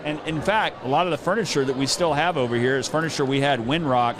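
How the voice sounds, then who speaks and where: A man's voice: a little high, fast, noisy background. A middle-aged man hosting a podcast interview in a casual, informal, anecdotal way. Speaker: podcast host. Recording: outdoor event with clear crowd din.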